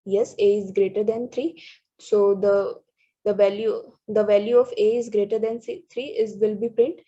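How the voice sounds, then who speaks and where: Woman presenter, husky and mellow, halting slide walkthrough, lo-fi sound. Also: mid-range voice, steady tone. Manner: halting, restarts words, many fillers. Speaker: student presenter. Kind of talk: reading through programming slides. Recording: dull lo-fi recording.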